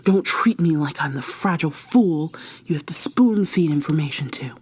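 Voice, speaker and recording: A woman playing an obsessive, coldly possessive killer in an intimate ASMR roleplay, soft and often whispery, with a deliberate, mock-sweet, threatening manner. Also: low-pitched, expressive, breathy edge. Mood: cold anger. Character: obsessive, menacing female serial killer lover, coldly possessive.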